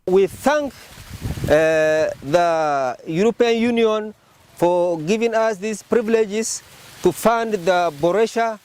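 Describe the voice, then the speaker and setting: A man's voice: sits high, takes it slowly, darkish tone, slight background noise. A man giving an unscripted testimonial of thanks.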